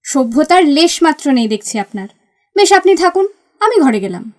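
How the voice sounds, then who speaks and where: A guarded young woman in an audio romance, acted by a woman, speaking in a high voice. She is prickly and irritated, pushing back at a persistent suitor. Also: sing-song, moderate pace, darkish tone. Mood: angry. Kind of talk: audio drama dialogue.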